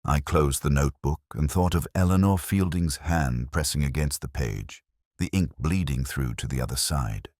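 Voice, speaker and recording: Very deep-voiced brooding detective played by a man. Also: a little mellow, dry recording, clean studio sound. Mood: somber, reflective. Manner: measured, reflective, melancholy. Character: brooding, literary male police detective narrating his case. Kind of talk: slow scripted first-person mystery narration.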